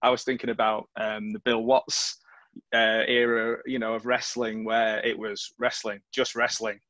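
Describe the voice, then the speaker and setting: A man's voice: fairly low pitch, level tone, normal speed, pushed and bright, dry recording. A man in his forties with a Manchester accent, interviewing on a video call, hesitant and friendly with many restarts. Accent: Northern English, Manchester. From England. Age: 40s. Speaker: interviewer, teacher.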